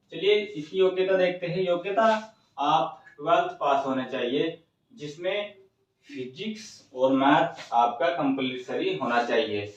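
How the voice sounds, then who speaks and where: Echoey, slow-talking man. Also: a little high. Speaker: exam coaching presenter. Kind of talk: informal explanatory exam update for students. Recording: muffled, echoey room, distant microphone.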